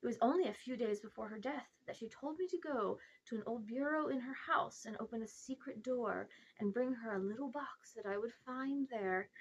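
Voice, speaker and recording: A woman's voice: a little high, moderate pace, hardly any pauses, husky, rough recording. A woman voicing a pompous old uncle who dabbles in magic, condescending and evasive, in a storybook reading. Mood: secretive, confiding. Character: pompous, self-important old uncle, sly amateur magician.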